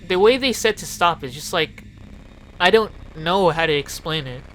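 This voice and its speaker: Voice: mid-range voice, animated, moderate pace, fairly bright tone, slight background noise, low-quality recording. A narrator giving an informal, opinionated video essay commentary and swearing freely. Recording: music playing behind the voice. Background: background music.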